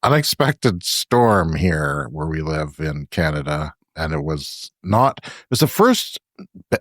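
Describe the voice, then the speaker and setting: A man co-hosting a podcast in casual chat, talkative and quick to laugh. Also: a bass voice, very animated, a bit bright, no echo, clean studio sound. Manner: chatty, laughs often between remarks. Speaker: podcast co-host. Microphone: remote podcast call.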